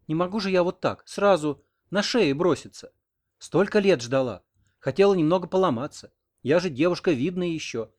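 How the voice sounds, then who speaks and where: Man voicing a coquettish, self-assured young village woman, lifted in pitch. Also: lively intonation, normal speed. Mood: proud, coy. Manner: coquettish, teasing. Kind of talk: audiobook character reading.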